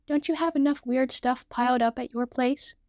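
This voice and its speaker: A sarcastic robot that talks in a flat, deadpan way, as a woman puts it on. The voice is high, and the recording is dry and close. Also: normal speed, no echo.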